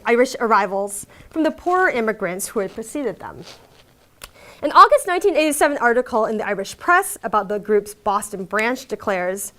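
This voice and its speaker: Lively-pitched woman giving a literary lecture. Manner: measured, emphatic reading with occasional fillers. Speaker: academic lecturer. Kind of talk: reading a prepared academic lecture. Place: lecture hall.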